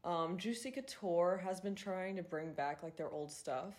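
Congested nasal twenty-something girl, low voice, rambly podcast chat, swears a lot. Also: measured pace, a little mellow. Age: 20s. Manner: chatty, filler-heavy, swears freely. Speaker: podcast host. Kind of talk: casual solo podcast chat.

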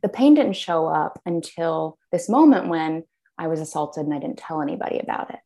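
A woman with a somewhat low voice shares her story on a podcast. She talks in a halting way, often stopping and starting again with fillers. She laughs softly at herself now and then. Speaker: podcast guest. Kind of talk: candid personal storytelling.

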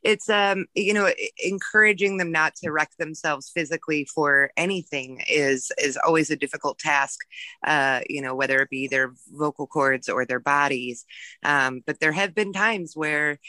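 Bright-voiced man explaining thoughtfully. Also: fairly high pitch, normal speed, dry recording, poor audio.

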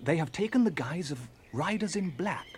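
Man acting high, urgent British wizard. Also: expressive, moderate pace, slight background noise, natural voice. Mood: urgent, ominous. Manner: urgent, declamatory. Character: anxious wizard herald, breathless urgency, slightly quaint. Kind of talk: British radio drama acting.

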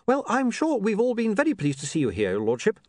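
A man's voice: high voice, very animated, moderate pace, no room sound, studio quality, voice pushed up. A man voicing a flustered, polite young man in an audiobook, hesitant and eager to placate. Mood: anxious politeness. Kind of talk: audiobook character voice.

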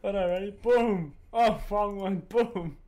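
Guy cohosting a podcast, loose and rambly, kinda high voice. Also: unhurried, mellow. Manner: loose, rambling, swears freely. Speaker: podcast co-host. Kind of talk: casual chat.

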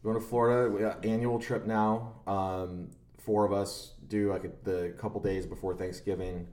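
A man co-hosting a podcast in casual chat, laid-back and a little halting, recorded in a roomy, echoey space. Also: lowish voice, level tone, a little mellow. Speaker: podcast co-host. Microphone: own podcast microphone.

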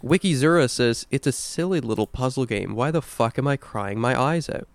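A man with a smooth, clean voice at an ordinary mid pitch, recorded close and dry. He talks like a podcast co-host in casual chat, informal and emphatic, with some swearing. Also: expressive, normal speed, no echo. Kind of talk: casual chat about video games.

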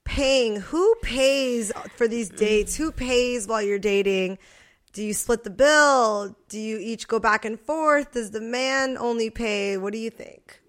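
Podcast co-host, woman, late 20s, bright higher pitch, giggly and chatty. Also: unhurried. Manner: giggly, chatty, laughs often. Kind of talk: casual chat.

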